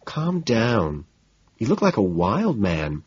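A man voicing a composed, soothing woman in an audiobook, gently concerned and quietly amused, with soft questions. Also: mid-range voice, very animated, measured pace, husky, voice pushed up. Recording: dull, lo-fi, roomy sound.

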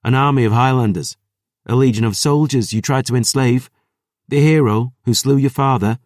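A man's voice: lowish voice, unhurried, darkish tone, no echo, studio quality, lightly acted. A man voicing a fierce young princess who speaks with raised, defiant force.

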